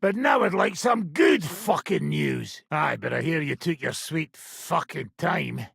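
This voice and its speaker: Gravelly old robber baron. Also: male voice, a little high, very animated, measured pace, a bit bright, no echo, clean studio sound, full character voice. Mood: gruff, matter-of-fact. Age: older. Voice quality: rough, raspy, gravelly. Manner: blunt, profane, sardonic. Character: foul-mouthed old wanted robber baron, gruff war-band leader. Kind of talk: video game character dialogue.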